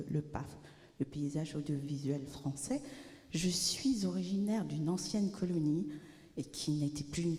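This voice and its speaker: A woman who grew up in France, a journalist, putting a formal, deliberate question from the floor. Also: low-pitched, mellow. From born in Benin, grew up in France. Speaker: freelance culture journalist asking an audience question. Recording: very echoey large hall.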